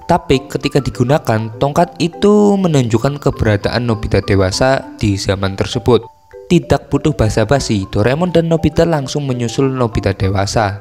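A man's voice: medium pitch, a little mellow, in a large hall. A man with an Indonesian accent narrating a story recap in a casual, scripted style. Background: music.

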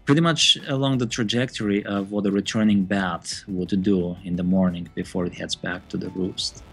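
A man, a bat biologist, giving thoughtful, measured interview answers with occasional fillers. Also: deep voice, fairly even intonation, creaky.

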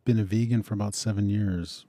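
A man in his forties from Western Pennsylvania, co-hosting a radio talk show in an easygoing, halting way, with frequent uhs. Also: lowish voice, steady tone, warm tone, no echo. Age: 40s. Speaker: co-host.

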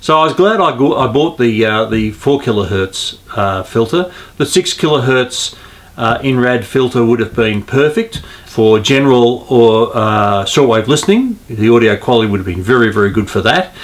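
A man, explaining a hobby project informally, in halting phrases with pauses. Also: medium pitch, lively intonation. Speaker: host of an amateur radio hobby video. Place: small indoor radio room at home.

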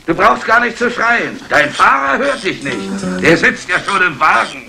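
An actor playing a menacing kidnapper from a secret brotherhood in a 1960s German crime radio play, speaking slowly and deliberately. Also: male voice, sits high, big pitch swings, creaky, loud music behind the voice, exaggerated voice. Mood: cold menace. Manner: slow, deliberate, threatening.

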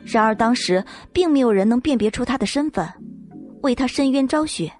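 Higher-pitched female story narrator. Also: animated, fairly quick, a little mellow, faint music behind. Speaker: audiobook narrator.

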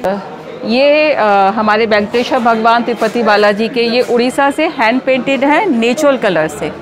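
A middle-aged woman explaining her handmade products at an exhibition stall, unhurried, mixing Hindi with English. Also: fairly high pitch. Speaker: startup owner showing products. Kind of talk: casual product walkthrough at a stall. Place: indoor exhibition hall stall. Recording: busy expo crowd behind.

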